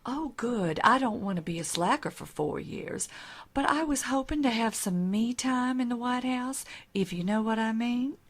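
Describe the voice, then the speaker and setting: A woman's voice: a little high, expressive, moderate pace. Woman playing a coy, entitled society lady. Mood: gracious, breezy. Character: genteel, entitled, flirtatious Southern future First Lady. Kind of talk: comic audio drama acting.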